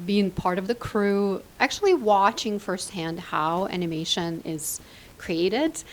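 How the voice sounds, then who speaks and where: A woman's voice: medium pitch, takes it slowly. Reflective woman from Ukraine. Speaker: interview guest, animation filmmaker. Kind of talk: casual interview answers.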